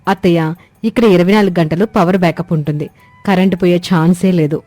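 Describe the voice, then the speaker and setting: A woman's voice: on the low side, fairly even intonation, fast, dark tone, no room sound, faint music behind, lightly acted. A woman voicing a polite, gadget-proud young city daughter-in-law in a cartoon story, mixing English words into her Telugu. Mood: confident, smug.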